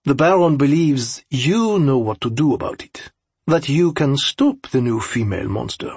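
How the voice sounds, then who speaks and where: A man's voice: mid-range voice, animated, moderate pace, darkish tone, breathy edge, no room sound. A man voicing an earnest young European aide who interprets for his master in a formal, polite way, in an audiobook story. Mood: grave.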